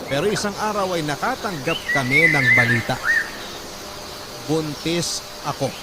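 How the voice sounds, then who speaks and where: A man's voice: a little high, animated, measured pace, heavy background noise. A man voicing a young prostitute who recounts a troubled past as a confession in dramatized radio storytelling. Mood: reflective, regretful. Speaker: narrator reading a letter sender's confession. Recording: loud music under the voice. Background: loud music.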